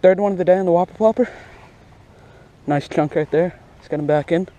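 A young man giving casual, slangy and excitable fishing commentary outdoors, recorded on a body-worn action camera. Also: sits high, a little fast, lo-fi sound. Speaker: fishing vlogger. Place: outdoors beside a grassy pond.

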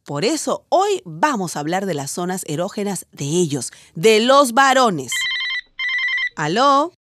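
A woman's voice: mid-range voice, sing-song, a little slow, fairly bright tone, dry recording, studio quality. An actress playing a frank, playful woman doctor who hosts a radio advice show in a teasing, instructive way. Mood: explanatory, assured. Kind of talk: radio drama advice programme.

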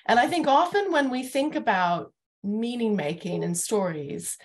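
A woman's voice: a little high, expressive, measured pace. A middle-aged woman moderating a reflective panel discussion on a video call from home. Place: living room at home, indoors.

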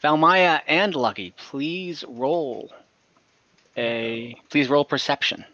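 A man runs a tabletop role-playing game as its narrator, with a bright, slightly high voice. He talks casually and haltingly, feeling out the description as he goes. It is close and dry, through slightly muffled call audio. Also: no room sound. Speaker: game master. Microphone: computer microphone on a video call. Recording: dull, compressed online call audio.

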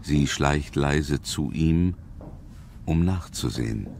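A man's voice: rumbling low, expressive, takes it slowly, creaky, darkish tone, slight background noise, exaggerated voice. A man reading scripted narration for a suspense radio play in a grave storytelling manner.

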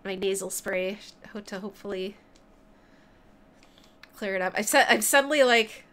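A woman's voice: medium pitch, normal speed, fairly bright tone. A woman streamer with a stuffy nose, chatting casually and apologetically between laughs. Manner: giggly, apologetic. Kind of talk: casual gameplay chat.

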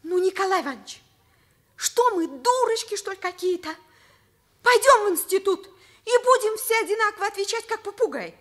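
A woman's voice: very high voice, expressive, moderate pace, a bit bright. An actress playing an outspoken, cheeky teenage schoolgirl in a Soviet radio drama, candid and argumentative. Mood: protesting.

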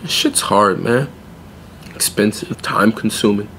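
An actor playing a proud, guarded young pet store clerk in an audio drama, speaking bluntly and sardonically. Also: male voice, lowish voice, a little slow, pushed and bright, slight background noise. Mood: defensive, weary. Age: young adult. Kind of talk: naturalistic audio drama acting.

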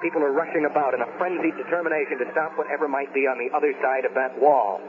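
An actor playing a shaken American newsman reporting live from an outbreak in a halting, horrified 1970s radio drama style. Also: fairly high pitch, fairly even intonation, background noise, natural voice. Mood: grim, unsettled. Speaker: field news reporter. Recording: tinny band-limited 1970s radio recording.

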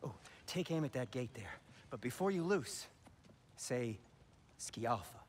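An actor playing a patient, fussy male inventor who gives measured instructions in a fantasy video game. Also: medium pitch, lively intonation, a little hiss or hum, lightly acted. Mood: eager, instructive.

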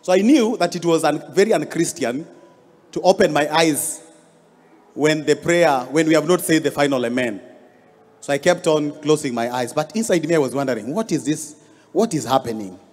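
Kenyan male pastor, 30s, fairly high voice, storytelling sermon, hall echo, handheld mic. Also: animated, moderate pace, a little mellow. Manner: storytelling, rising emphasis on key words. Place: large church hall stage. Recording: long hall reverb.

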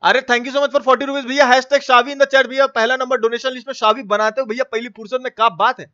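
A man's voice: very high voice, little pitch movement, a little fast, pushed and bright, highs cut off. A man with a Bihari accent giving casual, slangy gameplay commentary as a live streamer, cursing and repeating himself as he chats with viewers. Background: game music.

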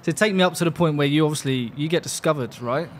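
A man's voice: on the high side, fast, slight background noise. A man in his thirties hosting a podcast, chatting in a casual, matey way. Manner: laid-back, matey, sweary. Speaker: podcast host. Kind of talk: casual interview chat. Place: indoor studio with curtains. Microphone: desk podcast mic.

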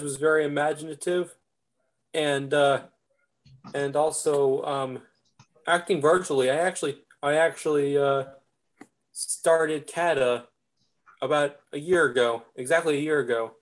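A young man from Virginia chatting casually on a video call, speaking haltingly and repeating his words. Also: a little high, steady tone. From Virginia, United States. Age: young adult. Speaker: actor on a panel. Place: indoor room on a video call. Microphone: video call device microphone.

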